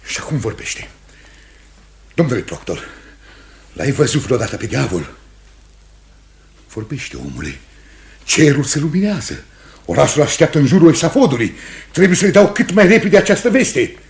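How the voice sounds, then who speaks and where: An actor playing a pompous, pious and impatient official interrogator with a commanding, declamatory radio theatre delivery. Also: male voice, fairly high pitch, big pitch swings, long pauses. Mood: stern, urgent. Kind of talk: Romanian radio theatre acting.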